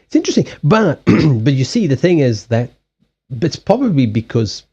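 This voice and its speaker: South African-accented male streamer, Portuguese native, rambling and amused, husky and mellow, slightly muffled mic. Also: a little high, big pitch swings, normal speed. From Mozambique. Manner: rambling, amused, self-correcting. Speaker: streamer doing card readings. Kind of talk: casual live-stream chat.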